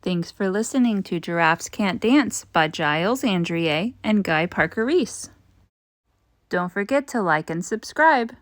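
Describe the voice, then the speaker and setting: A woman, a teacher, reading a children's picture book aloud in an expressive storytelling style. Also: mid-range voice, measured pace, no echo, studio quality.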